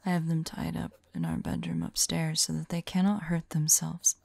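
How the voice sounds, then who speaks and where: A woman playing an anguished, lovelorn mage in an ASMR audio roleplay, speaking her journal entries in a soft, breathy, halting and mournful voice. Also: deep voice, little pitch movement, no echo, exaggerated voice. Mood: weary, pained. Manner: hushed, halting, mournful, intimate. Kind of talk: slow dramatic monologue, ASMR audio roleplay.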